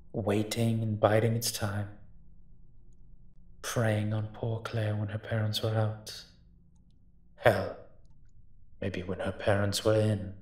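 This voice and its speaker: A man playing a cocky, menacing monster detective who protects a child in a roleplay audio drama, with taunting threats that drop into breathiness. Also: lowish voice. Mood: dry, musing.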